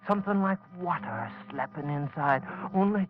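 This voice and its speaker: A nervous young farm lad, as an actor plays him, talking in rustic dialect with a high, strained voice. His lines come through a dull old radio broadcast with faint music underneath. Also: expressive, normal speed, lightly acted. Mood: uneasy. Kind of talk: 1940s radio drama acting.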